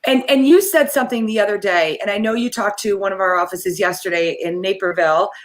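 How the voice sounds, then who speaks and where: A woman hosting a casual interview on a video call, energetic and chatty, often restarting her sentences. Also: medium pitch, quick pace. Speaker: host.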